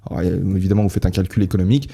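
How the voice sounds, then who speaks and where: Podcast co-host, young man, husky and quick, jokey banter. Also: sits low, flat delivery, mellow. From half Peruvian. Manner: joking, talkative, laughs often. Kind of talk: casual podcast chat. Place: lives in Spain.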